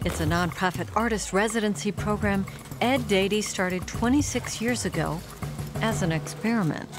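A woman reading scripted documentary narration in a measured, polished voice-over. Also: medium pitch. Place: studio voice-over. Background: background music.

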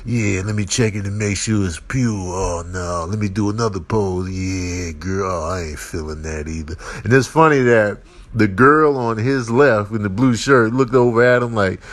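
A man's voice: lowish voice, moderate pace. A man giving mocking comic commentary in a casual, slangy, teasing way. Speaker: comedian.

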